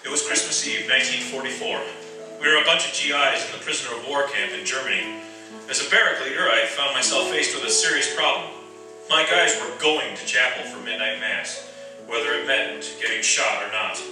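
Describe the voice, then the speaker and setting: A man's voice: mid-range voice, brisk, close to an everyday voice. A man playing an earnest, easily flustered American army sergeant in a radio play, with an American accent. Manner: stammering, repeats words when flustered. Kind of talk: radio play acting and narration. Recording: very echoey hall, distant mic, music behind.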